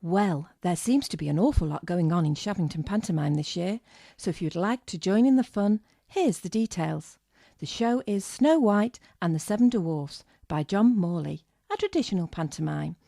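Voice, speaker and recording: A woman reads a scripted promotional announcement. Her voice is mellow and mid-pitched with a creaky edge, in a dry, close recording. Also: animated, normal speed, no room sound.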